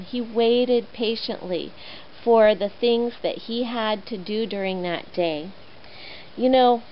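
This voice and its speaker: Woman storyteller for kids, expressive, fairly high voice, lo-fi recording. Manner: animated, expressive, addressing children. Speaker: children's storyteller. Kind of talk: children's Bible storytelling. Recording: muffled lo-fi recording, faint noise.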